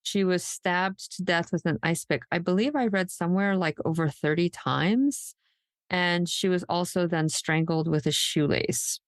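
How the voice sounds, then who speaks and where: A low-voiced woman tells a true crime story as a podcast co-host. Her delivery is conversational and slightly halting, and the sound is dry with very little room on it. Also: dry recording.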